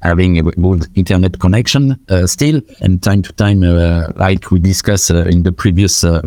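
A man runs a panel discussion, asking questions and sharing views in a low, mellow voice. His delivery is halting, full of "uh" pauses and restarts. The sound is dry and close. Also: no room sound. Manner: hesitant, frequent "uh" fillers. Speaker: panel moderator. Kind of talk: moderating a panel.

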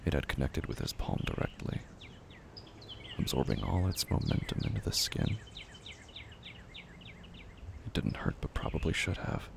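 A man reading scripted literary horror narration in a brooding, deliberate way, often dropping to a hush. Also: a bass voice, lively intonation, creaky, background noise.

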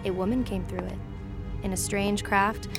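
An actress playing a haughty, cruel royal villainess in a sci-fi audio drama, pompous and deliberate. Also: female voice, medium pitch, steady tone, over music, lightly acted. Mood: smug menace.

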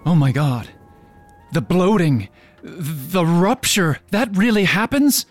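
A man's voice: high-pitched, lively intonation, slow, dry recording, soft background music, full character voice. A man playing an anxious, appalled listener in a radio drama, asking hesitant questions. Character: anxious, appalled male listener hanging on a grisly tale.

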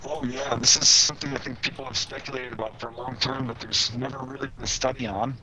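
Man, medium pitch, interview guest, informal explanatory storytelling, conversational. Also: steady tone, fast, a crowd in the background, poor audio. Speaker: guest, UFO researcher.

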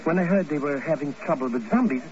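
Man playing a high-pitched, excitable comic sidekick agent. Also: fast, a crowd in the background, close to an everyday voice. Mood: nervous whining. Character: comic, cowardly, scheming male small-time secret agent sidekick. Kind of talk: 1950s comic radio acting. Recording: noisy, muffled old radio recording.

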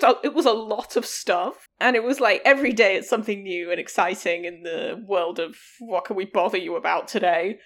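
A woman's voice: mid-range voice, a bit bright. A woman co-hosting a podcast, talking candidly and laughing often. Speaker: podcast co-host. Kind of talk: casual chat.

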